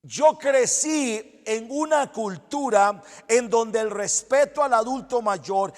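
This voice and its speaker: A man's voice: high voice, in a large hall. A male pastor preaching a sermon in earnest, exhorting tones, repeating phrases for emphasis.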